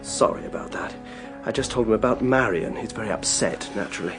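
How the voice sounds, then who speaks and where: A man's voice: on the low side, fairly quick, soft background music, close to an everyday voice. An actor playing an anxious, earnest, well-bred British man in a radio drama, urgent and questioning. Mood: apologetic, guilty.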